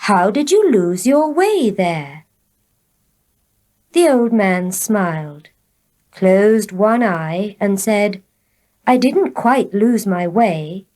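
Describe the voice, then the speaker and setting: A woman reading short tales aloud for learners in a deliberate, expressive storytelling manner. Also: mid-range voice. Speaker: story reader.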